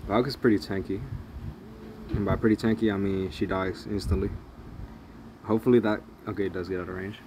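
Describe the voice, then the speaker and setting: A man's voice: lowish voice, flat delivery, fairly quick, long pauses, darkish tone, a little hiss or hum. A young man rambling through casual, profane play-by-play of a mobile strategy game as a gaming channel host. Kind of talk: casual gameplay commentary.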